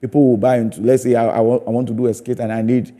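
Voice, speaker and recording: Mellow-voiced Ghanaian man in interview. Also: medium pitch, little pitch movement, fast. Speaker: theatre play maker and comedy performer, interview guest. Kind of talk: conversational TV interview.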